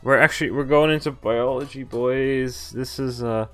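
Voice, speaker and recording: A man's voice: mid-range voice, steady tone, moderate pace. A man streaming a visual novel, reading it aloud with casual, amused asides. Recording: background game music behind voice. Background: game music.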